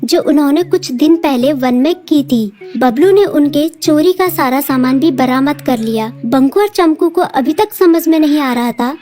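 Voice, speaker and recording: A woman narrating a cartoon story in a calm, even storytelling voice. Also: high-pitched, moderate pace, hardly any pauses.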